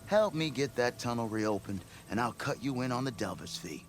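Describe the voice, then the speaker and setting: An actor playing a blustering, scheming expedition leader in a video game, with grandiose storytelling. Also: male voice, mid-range voice, animated, moderate pace, a little hiss or hum, close to an everyday voice. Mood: eager, persuasive. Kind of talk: video game cutscene acting.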